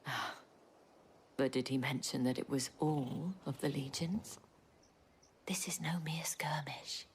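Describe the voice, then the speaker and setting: An actress playing a composed courtesan-house madam in a game cutscene, speaking low and breathy with measured, formal, knowing phrasing. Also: female voice, slight background noise. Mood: hushed, knowing. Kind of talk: dramatic video game cutscene acting. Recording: loud music under the voice.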